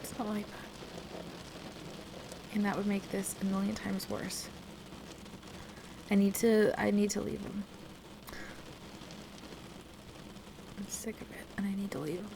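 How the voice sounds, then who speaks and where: A distressed, defensive woman played by an actress in an intimate audio roleplay. Her voice is creaky and halting, full of emotion, at a middling pitch. Clear background noise like rain runs behind her. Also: little pitch movement, natural voice. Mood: tense, pleading, then bitter, resolute. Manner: halting, emotional, pleading conversational delivery. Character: distressed, soaked woman wanting to leave her partner, defensive. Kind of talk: intimate ASMR roleplay audio drama, one side of a conversation.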